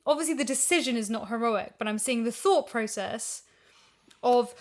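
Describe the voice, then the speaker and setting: A woman's voice: fairly high pitch, moderate pace, darkish tone. A woman in a casual podcast discussion, making her case emphatically and reasoning it through as she talks. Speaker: podcast guest and voice actor. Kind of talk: casual discussion of an audio drama.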